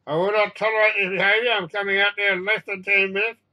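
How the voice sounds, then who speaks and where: A man's voice: high-pitched, animated, moderate pace, pushed and bright, pitched above the performer's own voice, exaggerated voice. Man playing an urgent, commanding airline captain. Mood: stern anger. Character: stern, exasperated airline captain in a crisis.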